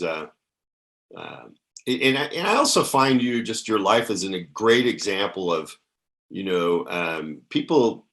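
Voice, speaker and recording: A man's voice: lowish voice, fairly bright tone. Encouraging male podcast host. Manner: encouraging, affirming interviewer with hesitant fillers. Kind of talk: interview podcast.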